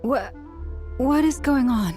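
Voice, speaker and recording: A woman voicing a gentle, formal priestess in a video game, speaking in a composed, courteous and measured way. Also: high-pitched, faint music behind. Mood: wary.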